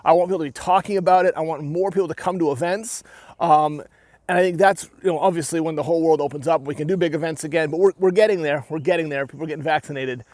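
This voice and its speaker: A man's voice: on the high side, quick pace, darkish tone, no echo. Man, New Hampshire accent, earnest rambling vlogger, close dry recording. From New England. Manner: rambling and earnest, with frequent restarts and "right?" tags. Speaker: armored combat fighter and YouTuber. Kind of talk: casual talking-to-camera rant.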